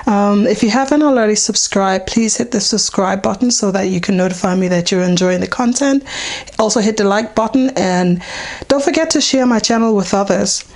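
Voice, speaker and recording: A woman whose voice is hoarse and partly gone, in a mid-range pitch. She guides a beginner through each step of a painting in an easy, unhurried way, with frequent ums as she works. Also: a little mellow. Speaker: painting teacher. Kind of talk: casual step-by-step painting demonstration.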